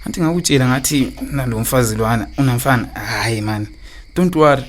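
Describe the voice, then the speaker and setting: A man's voice: medium pitch, a little fast, slight background noise, close to an everyday voice. A man with a Zimbabwean accent acting an evasive, philandering husband in a radio drama, stammering through his excuses. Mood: coaxing, reassuring. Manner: stammering excuses, switching into English phrases. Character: evasive philandering husband and father, smooth-talking, defensive.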